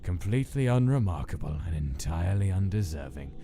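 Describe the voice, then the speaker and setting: A man doing a cold, deep-voiced scientist. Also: sing-song, dark tone. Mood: detached. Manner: detached, measured dictation. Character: cold clinical male scientist recording experiment logs. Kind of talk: fan comic dub narration.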